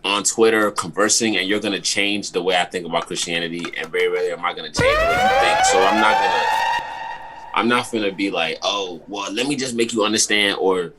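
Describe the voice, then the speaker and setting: A man's voice: lowish voice, huge pitch swings, fairly quick, pushed and bright. A young man from Maryland, guest artist on a video call, talking casually and loosely with lots of fillers and slang. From Maryland, with early childhood in Ghana.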